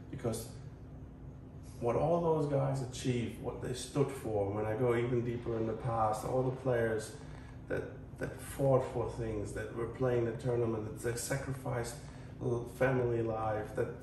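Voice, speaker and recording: A man from Croatia with a Croatian accent, talking casually to viewers as a tennis channel host in a halting, earnest, rambling way. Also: mid-range voice, a little mellow. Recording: echoey, distant mic, faint noise.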